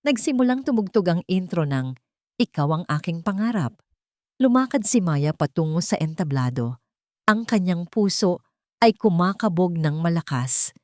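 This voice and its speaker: A woman's voice: fairly low pitch, animated, normal speed, dark tone, no echo, studio quality. A woman with a Filipino accent reading a scripted, dramatic story as a narrator.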